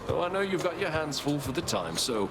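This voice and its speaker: An actor playing a laid-back, merry young god of wine in a video game, easygoing, slangy and effusive. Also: male voice, a little high, very animated, a little fast. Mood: grateful, merry. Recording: loud background noise.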